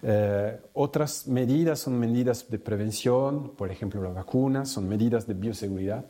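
A male researcher speaking in a halting way, with many fillers and restarts. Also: medium pitch, mellow. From Italy. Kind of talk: interview remarks.

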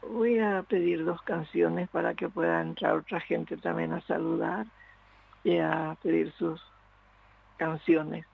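A man calling into a radio show, speaking slowly and earnestly, offering heartfelt wishes. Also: fairly high pitch, little pitch movement, gravelly, breathy edge. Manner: slow, earnest, prayerful wishes. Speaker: radio caller. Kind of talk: phone-in chat. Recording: tinny phone line.

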